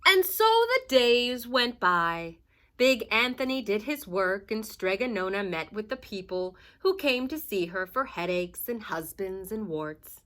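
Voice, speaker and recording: A woman's voice: mid-range voice, sing-song, a little slow, a bit bright. A woman reading a children's story aloud like a teacher, expressively and with dramatic emphasis. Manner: dramatic emphasis, asks listeners questions.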